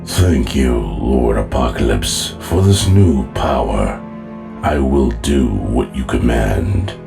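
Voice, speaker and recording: A man's voice: extremely low pitch, animated, slow pace, vocal fry, heavily acted. An actor playing a solemn, obedient war henchman of a villain lord in an audio drama. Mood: reverent obedience. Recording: music behind the voice.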